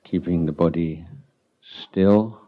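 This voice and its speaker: A man teaching meditation as a monk, giving calm, unhurried guided instructions with long halting pauses. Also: low voice, phone-quality sound.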